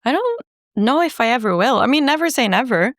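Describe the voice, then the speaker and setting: A singer-songwriter woman from Norway, chatting casually in an interview, laughing easily and filling pauses with ums and likes. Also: high-pitched, sing-song, dry recording, studio quality. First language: Norwegian.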